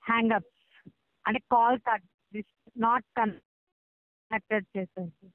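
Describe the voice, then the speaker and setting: A woman working as a loan recovery agent on a phone call, polite and persistent but halting. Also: a little high, steady tone, dry recording. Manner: polite, persistent, halting, repeatedly says sir. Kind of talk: debt collection phone call. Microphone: phone line. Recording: thin, band-limited phone call recording.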